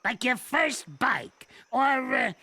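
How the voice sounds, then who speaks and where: An actor playing a cantankerous, boastful old male ape grandpa in an animated TV series, grumbling and hurling colorful insults in a gravelly old voice. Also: very high voice, takes it slowly, no echo, exaggerated voice. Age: older. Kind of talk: 1990s animated TV series acting. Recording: cartoon soundtrack with music behind. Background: music.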